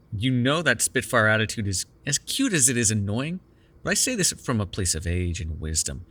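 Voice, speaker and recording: A man's voice: medium pitch, a bit bright. A man playing a sardonic but caring captive vampire in an immersive ASMR roleplay, talking in a wry, teasing, profane conversational way. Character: sardonic, caring immortal male vampire held captive in cuffs.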